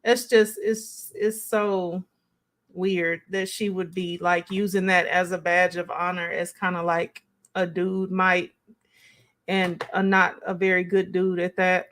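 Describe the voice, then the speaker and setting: A woman's voice: fairly low pitch, level tone, takes it slowly, fairly bright tone. A woman hosting a live stream, giving casual, conversational reaction commentary as she thinks aloud and responds to chat.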